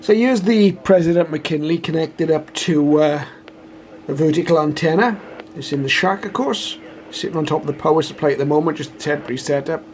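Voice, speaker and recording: A man giving a casual, low-key first-look commentary on a piece of equipment, speaking hesitantly and without hurry. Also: brisk, a little mellow. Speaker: radio hobbyist reviewer. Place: conservatory, later a home radio room. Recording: clearly noisy home recording.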